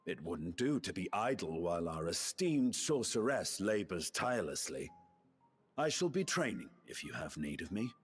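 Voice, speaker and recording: An actor playing a gruff, restless fantasy game warrior with a dry, wry manner. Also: male voice, sits low, very animated, moderate pace, faint music behind, heavily acted. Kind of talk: dramatic video game acting.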